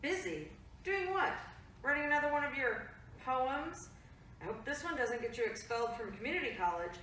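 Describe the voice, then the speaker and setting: A woman's voice: high-pitched, expressive, moderate pace, sharp tone, a little hiss or hum. A woman voicing a reproachful middle-aged mother who scolds her grown daughter, in a virtual staged reading recorded in an echoey room. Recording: echoey room, mic far off.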